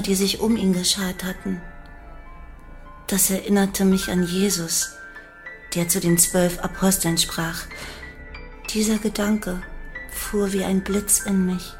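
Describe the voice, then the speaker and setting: Actress as an even-toned, devoted commune follower. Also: female voice, medium pitch, lightly acted. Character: devoted young female follower of a hippie guru. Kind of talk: German radio drama monologue.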